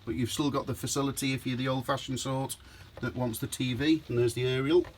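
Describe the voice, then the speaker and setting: A chatty man tours the inside of a motorhome with the camera in his own hand, calling his viewers folks. His pitch sits mid-range. The camera mic picks up plenty of noise, with rain starting outside. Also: fairly even intonation, a little fast. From United Kingdom. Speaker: motorhome dealer and reviewer. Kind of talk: walkaround video review. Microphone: handheld camera mic.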